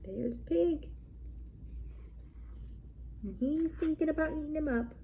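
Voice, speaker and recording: A woman's voice: sits high, expressive, takes it slowly, creaky, warm tone, slight background noise. A woman teacher doing a children's picture-book read-aloud, talking directly to young pupils with casual, simple asides. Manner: addresses young pupils directly, explaining simply as the story unfolds.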